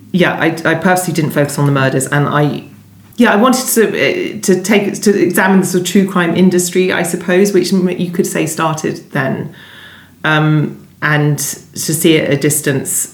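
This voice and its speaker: Thoughtful, halting woman in an echoey room. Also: low voice. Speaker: interviewed novelist. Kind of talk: conversational literary interview.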